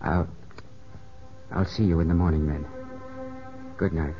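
An actor playing a proud, suspicious young country gentleman in a 1950s radio drama, speaking in a clipped, formal, brooding way. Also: deep voice, steady tone, a little slow. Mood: awkward, polite. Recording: muffled 1950s radio recording, loud music.

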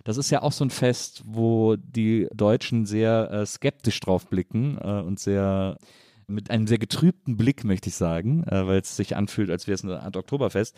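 A man's voice: lowish voice, normal speed, warm tone, dry recording, clean studio sound. A man hosting a podcast, talking casually and feeling his way through phrases with repeated words. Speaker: podcast host. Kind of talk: casual conversational interview.

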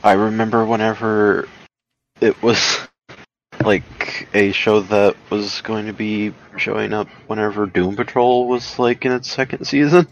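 A man's voice: lowish voice, fairly even intonation, a little slow, lo-fi sound, slight background noise. A man co-hosting a podcast in casual banter, with goofy, joking interjections. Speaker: podcast co-host.

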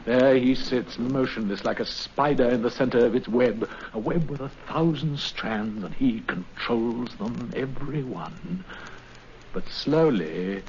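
Male actor, British detective, clipped, dramatic, world-weary, medium pitch, dull vintage radio sound. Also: a little slow, darkish tone, close to an everyday voice. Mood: intense, dramatic. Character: gaunt, weary, intense English detective in peril. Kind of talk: 1950s radio drama acting. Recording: muffled, noisy 1950s radio recording.

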